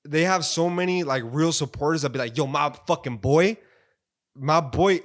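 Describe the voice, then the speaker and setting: A man's voice: on the high side, normal speed. A man chatting casually as a podcast guest, candid and emphatic, with plenty of slang and swearing. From San Diego, United States. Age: 20s. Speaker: podcast guest, music artist.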